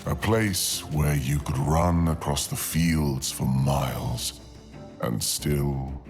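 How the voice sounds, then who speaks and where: A man narrating a scripted fantasy tale in an ASMR style, with dramatic, deliberate phrasing and long pauses. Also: extremely low pitch, darkish tone, faint music behind. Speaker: audio storyteller, narrator.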